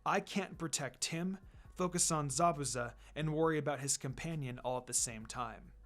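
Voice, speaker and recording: A man voicing a calm, weary, commanding ninja teacher in a measured, matter-of-fact way, for a story reading. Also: fairly high pitch, soft background music, close to an everyday voice. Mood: serious.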